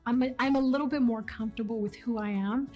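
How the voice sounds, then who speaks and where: A woman's voice: on the high side, steady tone, quick pace, dark tone, highs cut off. A woman in her thirties from Dallas, Texas, speaking conversationally with casual fillers in an interview. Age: 30s. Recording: faint music underneath.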